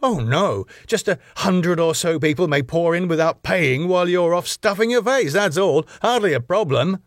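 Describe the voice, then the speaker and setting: A man's voice: on the high side, lively intonation, normal speed, no room sound, studio quality, pitched above the performer's own voice, close to an everyday voice. A man voicing an exasperated, long-suffering middle-aged bar manager in a script reading, wordy and sarcastic.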